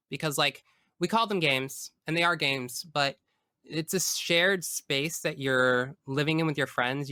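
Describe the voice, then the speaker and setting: A man speaking as a guest in a casual interview, enthusiastic and emphatic in an expressive way. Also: on the high side, clear, resonant tone, no room sound, clean studio sound. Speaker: tabletop game master. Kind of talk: casual interview chat about personal experience.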